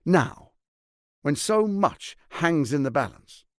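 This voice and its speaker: A man playing a smooth-tongued, condescending tyrant lord in a video game, with a pompous, theatrical delivery and deliberate emphasis. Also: a little high, sing-song, no room sound, clean studio sound. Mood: smug, persuasive.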